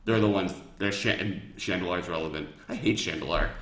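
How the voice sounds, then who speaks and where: A man with a fairly low voice talks as the guest expert in a casual panel chat. He explains with blunt opinions, and the room around him is clearly echoey. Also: a little fast, fairly bright tone. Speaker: guest expert on a gaming show.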